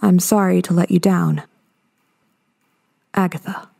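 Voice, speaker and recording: An actress playing a weary, uneasy investigator of hauntings in her fifties, reading a report in a measured, subdued way for an audio drama. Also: lowish voice, steady tone, vocal fry, dark tone, slightly rough, exaggerated voice. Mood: weary, apologetic. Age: 50s.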